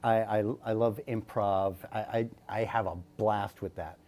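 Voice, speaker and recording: A man hosting a talk show in casual conversation, halting and repeating words as he goes. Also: lowish voice, steady tone, a little mellow. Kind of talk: casual interview chat.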